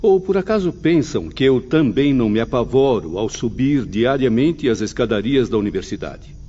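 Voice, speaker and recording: A man playing an awed, earnest spirit student in an audiobook drama, speaking formally and with measured care. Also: medium pitch, big pitch swings, mellow. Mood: earnest, resigned. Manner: formal, measured, literary speech. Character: awed, earnest male spirit student, resigned to harsh discipline. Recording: dull lo-fi, faint background ambience.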